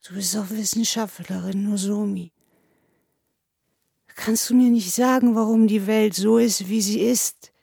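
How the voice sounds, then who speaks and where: A woman's voice: on the low side, fairly even intonation, measured pace, vocal fry, darkish tone, husky, no echo, clean studio sound, full character voice. Woman voicing a drunk, tearful young male student. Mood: tearful despair. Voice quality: slurred, tearful. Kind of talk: audiobook character acting.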